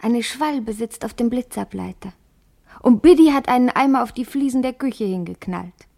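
An actress playing a dreamy, observant female character in a poetic radio play, with a mellow voice. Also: fairly high pitch, moderate pace, gravelly. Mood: wondering.

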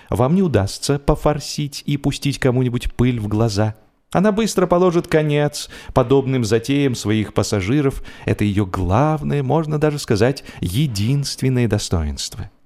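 A man narrating humorous literary prose in an expressive, measured storytelling manner with deliberate pauses. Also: medium pitch. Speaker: audiobook narrator. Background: music.

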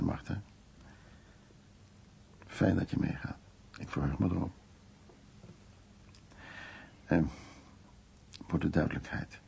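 An older art restorer in a naturalistic radio play, genial and stubborn. His low voice is very husky and full of creak, and he talks in a teasing, informal way that waves worries aside. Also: mellow, full character voice. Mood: gentle, easygoing. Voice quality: husky, gravelly. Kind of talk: naturalistic radio drama acting.

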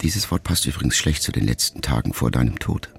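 A man in a radio production reading a letter aloud as literary narration, quiet and reflective, with phrase endings that trail off softly. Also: a bass voice, big pitch swings, a little fast, gravelly, over loud music.

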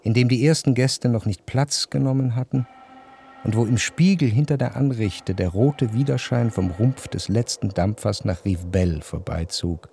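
An actor playing a reflective male narrator, delivering measured, introspective literary narration in a German radio play. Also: medium pitch, creaky, mellow, a little hiss or hum, close to an everyday voice. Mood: reflective, lyrical. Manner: measured, introspective, long flowing sentences. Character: reflective, jealous male narrator recalling his past.

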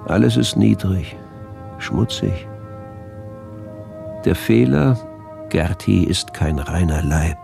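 A man's voice: low-pitched, lively intonation, takes it slowly, creaky, long pauses, full character voice. An actor playing a pompous, cold colonial doctor reciting his diary in a declamatory radio drama style. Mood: disgusted, fanatical. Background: music.

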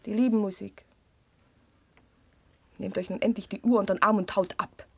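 An impatient female householder in a radio play. She is curt and wry, with a middle-pitched voice in a very dry, close studio sound. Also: steady tone, normal speed, vocal fry, breathy edge, dry recording. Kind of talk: German radio play acting.

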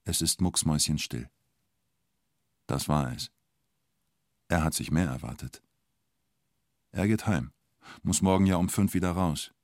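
An actor as the storyteller of a documentary radio drama, narrating in short, punchy, colloquial present-tense phrases with a vivid manner. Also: male voice, sits low, a little slow, long pauses, darkish tone, no room sound, studio quality. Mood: vivid storytelling. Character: storyteller recounting a Munich bank siege.

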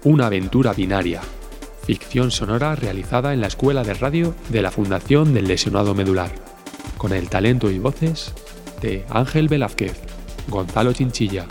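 A man's voice: lowish voice, animated, moderate pace, darkish tone, faint music behind. A man from reading scripted closing credits as an announcer.

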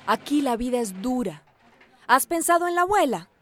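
Radio drama role: patient migrant mother, slightly high voice, gentle and persuasive. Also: big pitch swings, normal speed. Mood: gently persuading. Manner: gentle, reasoning. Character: caring, hard-working migrant mother urging patience.

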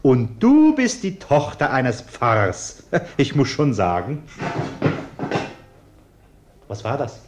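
An actor in a German radio play voicing a pompous, indignant middle-aged householder who gets flustered and repeats himself. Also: male voice, medium pitch, huge pitch swings, slow pace, pauses often, reverberant, muffled recording, background noise. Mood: self-righteous. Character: blustering, indignant, cowardly bourgeois householder and husband.

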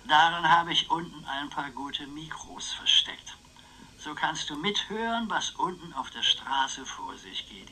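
A man's voice: on the high side, sharp tone, slight background noise, exaggerated voice, rough recording. A male actor playing an eccentric old uncle in a radio play, theatrical, rambling and needling, styling himself a dangerous psychopath. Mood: conspiratorial. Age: older.

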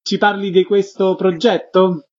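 A man's voice: high-pitched, level tone, a little slow, darkish tone. A man presenting a radio interview as the host. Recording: muffled, band-limited radio interview audio.

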